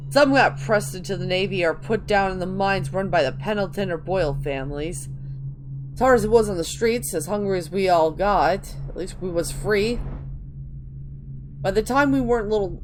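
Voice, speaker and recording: A woman voicing a rough, chatty street gang member telling tales colloquially in a dramatic reading of video game lore. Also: medium pitch, slight background noise, close to an everyday voice.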